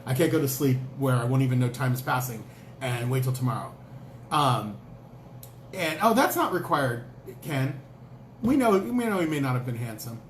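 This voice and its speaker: A man co-hosting a casual talk show, chatty and playful, breaking into high exclamations. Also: lively intonation, normal speed, echoey room, a little hiss or hum. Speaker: co-host. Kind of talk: casual chat.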